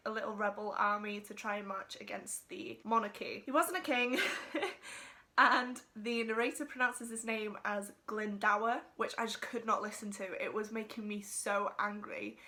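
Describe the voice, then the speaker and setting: A woman's voice: on the high side, steady tone, moderate pace, bright, forceful tone. A Welsh woman, a native Welsh speaker, chatting casually as she unboxes and reviews bookish items, gushing one moment and ranting the next. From Wales. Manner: gushing and chatty, slips into rants. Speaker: book vlogger.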